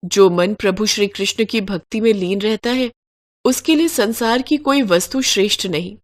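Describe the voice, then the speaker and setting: A woman's voice: medium pitch, normal speed, lightly acted. Woman playing a serene, devout princess. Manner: earnest, formal, devout. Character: serene, devout princess, unafraid and wholly devoted. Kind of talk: devotional story dialogue.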